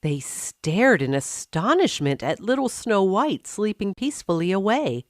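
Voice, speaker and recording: A woman narrating a scripted fairy tale for children, expressive and carefully phrased. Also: medium pitch, a little slow, no echo, studio quality. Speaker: storyteller.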